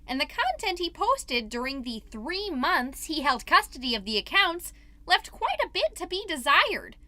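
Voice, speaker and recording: A woman's voice: high voice, sing-song, moderate pace, pushed and bright, no room sound, full character voice. A woman playing a chatty, wholesome suburban mom podcaster, doting and easily exasperated, in a scripted comedic monologue. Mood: fond, fussy. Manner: chatty, dramatic, folksy minced oaths.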